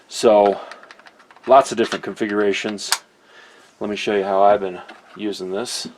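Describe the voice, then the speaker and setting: A man with a fairly low voice talks through a product hands-on. His delivery is informal and conversational, like an easygoing reviewer chatting to viewers. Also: flat delivery, moderate pace, background noise.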